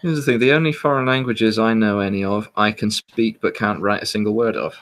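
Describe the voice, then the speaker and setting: A man's voice: on the low side, steady tone, a little fast, a bit bright. A man from southern England with a southern English accent, hosting a casual chat and laughing often. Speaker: host.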